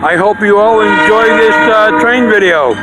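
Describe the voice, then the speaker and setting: A man hosting a casual railfan video outdoors beside the tracks, speaking in a halting, hesitant way. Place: outdoors beside a railroad crossing. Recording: noisy outdoor recording with train rumble. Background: passing train and outdoor noise.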